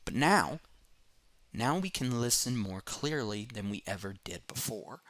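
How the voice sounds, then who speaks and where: A man hosting a comic review, reading scripted narration in an earnest, expressive way on a close voice-over mic. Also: mid-range voice, a little slow, no room sound.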